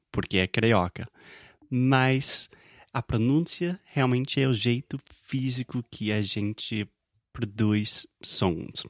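An American man, a native English speaker, co-hosting a casual podcast and speaking Portuguese as a learner, relaxed and thinking aloud with fillers. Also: fairly low pitch, no room sound, clean studio sound. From United States. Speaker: podcast co-host, English teacher.

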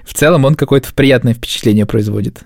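A man's voice: mid-range voice, fast talker, no room sound, studio quality. A man co-hosting a podcast in casual chat, speaking informally with plenty of slang. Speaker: podcast co-host.

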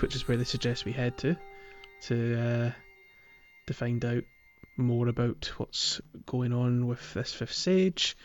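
Man chatting on a dull-sounding recording. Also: mid-range voice. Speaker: podcast co-host. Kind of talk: casual gaming podcast chat.

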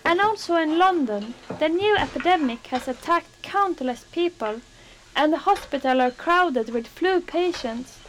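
A woman's voice: sits high, animated, a little slow, rough recording. A woman playing an anxious, apologetic young kitchen worker in a radio drama, nervous and pleading. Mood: earnest. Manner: nervous, pleading, then earnest reading aloud.

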